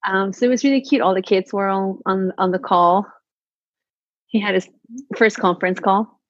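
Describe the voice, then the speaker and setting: A chatty woman in her thirties talks casually, slipping into quoted back-and-forth as she tells her stories. Her voice sits at a middle pitch. The sound is dry and close, but a little dull and lo-fi. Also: moderate pace, no echo. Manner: chatty, animated storytelling with quoted exchanges. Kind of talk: casual chat. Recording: slightly muffled, lo-fi audio.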